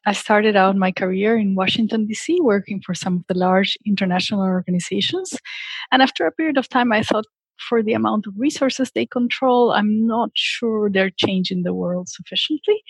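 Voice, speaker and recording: Female interview guest, middle-aged, from Peru, slightly rough-edged voice, reflective answers, very dry recording. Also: medium pitch, no echo. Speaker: interview guest, philanthropy expert.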